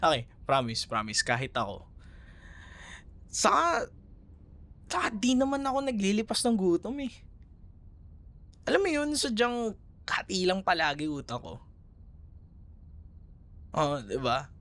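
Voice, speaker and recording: Casting: male actor as young Filipino ex-boyfriend for audio roleplay; teasing yet caring, conversational, hesitant, lively swings in intonation. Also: high-pitched, measured pace, pauses often, full character voice. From Philippines. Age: young adult. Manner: hesitant, casual, giggly, conversational. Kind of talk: ASMR roleplay, casual one-sided conversation.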